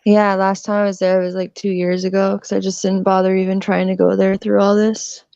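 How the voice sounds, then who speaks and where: A woman's voice: medium pitch, monotone, brisk, gravelly, dark tone, smooth, no room sound. A woman in her thirties chatting casually and laughing often on a group call, through a slightly dull lo-fi mic. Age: 30s. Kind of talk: casual group video chat.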